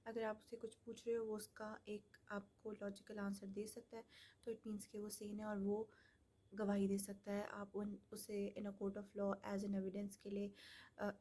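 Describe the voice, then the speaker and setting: A woman's voice: medium pitch, warm tone. A woman giving an explanatory lecture, methodical and plain, mixing in English terms. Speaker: law lecturer.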